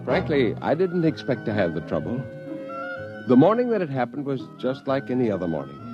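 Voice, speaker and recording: Dull 1950s radio sound with music under it carries an earnest, anxious musician. He sounds flustered and swings widely in pitch, in old radio drama style. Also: huge pitch swings, fairly quick. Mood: rueful. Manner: earnest, flustered, stammering when upset. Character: earnest anxious male bassoonist, first-person narrator.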